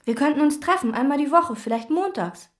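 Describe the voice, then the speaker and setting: An actress playing a withdrawn, meticulous chambermaid who speaks precisely and detachedly, in radio drama and inner monologue. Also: female voice, high voice, lively intonation, fairly quick. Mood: flat. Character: meticulous, withdrawn woman chambermaid obsessed with cleaning. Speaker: hotel chambermaid.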